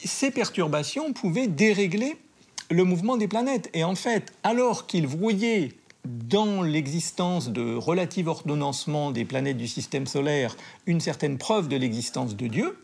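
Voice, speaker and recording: A man interviewed for a documentary, his voice rough with fry and husky. He sits a little high in pitch. He thinks aloud and stalls on fillers and restarts. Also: lively intonation, measured pace, a little mellow. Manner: hesitant, many fillers and restarts. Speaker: historian of science interviewed.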